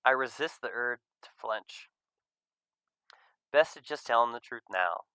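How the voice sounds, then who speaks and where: A man reading a visual novel aloud as an expressive first-person narrator. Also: mid-range voice, a little slow, smooth, no room sound.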